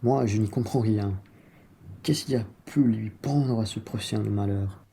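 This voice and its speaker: A practical, grumbling male traveller played by a man with a very dark, mellow voice. He speaks plainly, in the style of an amateur radio play. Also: lowish voice, measured pace, vocal fry, slight background noise. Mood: exasperated.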